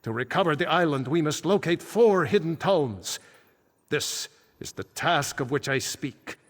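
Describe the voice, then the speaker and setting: Ancient archmage, solemn, portentous, fairly high-pitched, long hall reverb, fantasy game. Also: male voice, animated, unhurried. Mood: solemn, urgent. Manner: grave, formal, portentous. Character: solemn ancient male archmage returned from death. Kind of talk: fantasy video game dialogue.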